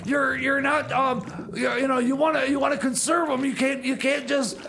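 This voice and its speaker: A man's voice: extremely high pitch, flat delivery, moderate pace, vocal fry, sharp tone, a little hiss or hum, full character voice. A man playing a flustered, long-winded radio DJ in comedy radio theater, stammering and repeating words. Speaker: radio DJ and show host.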